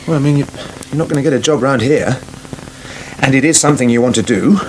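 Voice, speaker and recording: An actor playing a brisk, offhand young English farmer in a naturalistic British radio soap. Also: male voice, medium pitch, close to an everyday voice, low-quality recording. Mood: awkward, offhand. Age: young adult. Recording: 1980s radio drama, faint background noise.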